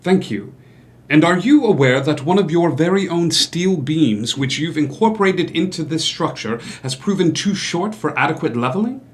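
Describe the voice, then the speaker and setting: A man's voice: a little high, animated, moderate pace, lightly acted. A man playing a smug, evasive doctor and swindler in a stage musical table read, speaking formally and with cool dismissal. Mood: haughty, commanding. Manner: haughty, formal, coolly dismissive.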